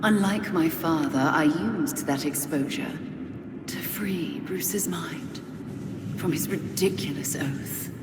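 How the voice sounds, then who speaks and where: An actress playing a cold, seductive villain leader in a video game, speaking with slow, imperious menace. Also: female voice, deep voice, heavy background noise. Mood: triumphant, commanding. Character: commanding female villain, cold, seductive leader of an assassin league. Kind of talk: video game cutscene voice acting. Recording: echoey hall reverb with loud music.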